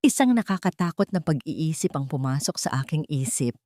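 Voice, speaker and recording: A woman narrates a scripted drama in an audio story. Her voice sits low for a woman. The sound is dry, with hardly any room to it. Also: expressive, a little fast, darkish tone, dry recording.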